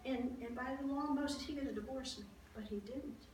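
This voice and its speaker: Very roomy distant recording, woman performing as devout older wife, highish voice, excitable, awestruck, conversational Bible storytelling monologue, expressive, halting. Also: close to an everyday voice. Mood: fond, reminiscing. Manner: conversational, expressive, halting with restarts and acted-out exclamations. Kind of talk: first-person dramatized Bible monologue, storytelling. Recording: roomy echo, distant mic, faint noise.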